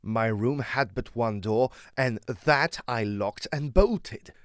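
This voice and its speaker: An actor playing an agitated, proud gentleman explorer of old, speaking emphatically in formal, old-fashioned phrases in a gothic audio drama. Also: medium pitch, takes it slowly, clear, resonant tone, dry recording, studio quality, lightly acted. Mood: anguished recounting.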